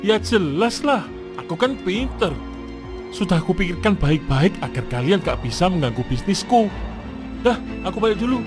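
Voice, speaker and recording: A man's voice: sits high, big pitch swings, normal speed. A man voicing a greedy, smug property boss, a gloating and mocking villain in a comic horror cartoon. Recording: music playing behind.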